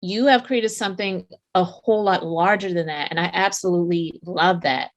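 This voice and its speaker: Lady hosting a business podcast, lots of warm praise, lowish pitch. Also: moderate pace, muffled recording. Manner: effusive, full of praise. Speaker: podcast host. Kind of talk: podcast interview.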